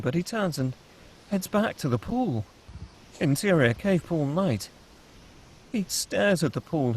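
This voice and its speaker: Male narrator for screenplay table read. Slightly high pitch, husky tone, scripted action delivery.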